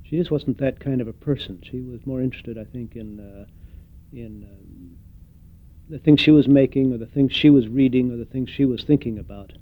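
An older man giving an oral history interview, reflective and hesitant, pausing often with fillers. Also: medium pitch, slight background noise. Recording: tinny phone-like recording.

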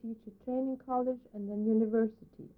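Norwegian woman, halting speech. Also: on the high side, steady tone. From northern Norway. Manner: halting, many restarts and uh. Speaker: interviewee, teacher. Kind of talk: oral history interview. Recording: narrow phone-like old tape.

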